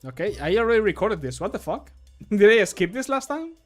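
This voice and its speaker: A man's voice: sits high, sing-song, brisk. A man streaming casually as he works, chatting in an informal, jokey, sweary way. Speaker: streamer and amateur voice actor. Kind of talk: casual livestream chat while working. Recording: music in the background. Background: music.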